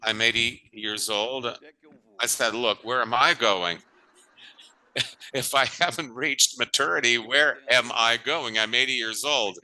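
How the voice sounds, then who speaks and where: A man interpreting a panel talk into English with a very bright, forceful tone. He stops and starts, correcting himself and rewording phrases mid-sentence. His pitch sits in an ordinary middle range. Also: fairly even intonation, low-quality recording. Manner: halting, restarts and rephrases phrases.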